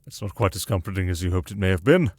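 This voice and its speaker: A man's voice: deep voice, expressive, fast talker, a little mellow, breathy edge, no room sound. A man playing a protective, exasperated retainer to a noble lady in a fantasy audio drama, formal and dutiful in speech. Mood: reluctant, exasperated.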